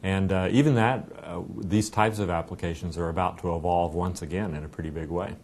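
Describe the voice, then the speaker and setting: A man's voice: a little fast, darkish tone. A middle-aged man explaining a product conversationally during an interview and demonstration, recorded indoors on a lapel mic. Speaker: software company representative giving a demo. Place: indoor meeting room. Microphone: clipped lapel microphone. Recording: clear low rumbling room noise.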